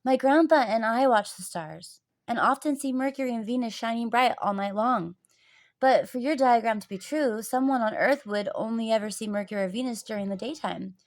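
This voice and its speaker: A woman's voice: fairly high pitch, lively intonation, fairly quick, a little mellow, close to an everyday voice. A woman voicing an inquisitive, stubborn schoolboy in a children's storybook, questioning what he is taught in an insistent way. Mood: confident, defiant.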